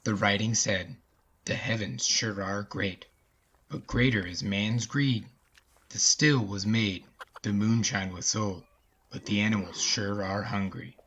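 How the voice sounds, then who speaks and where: A man's voice: fairly low pitch, fairly bright tone. Male storytelling podcast host, slightly muffled. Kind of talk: story narration and casual chatty commentary.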